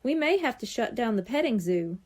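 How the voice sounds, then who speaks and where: A woman's voice: on the high side, big pitch swings, normal speed, darkish tone. A woman voicing a friendly, plain-spoken male petting zoo worker in a children's storybook. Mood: regretful.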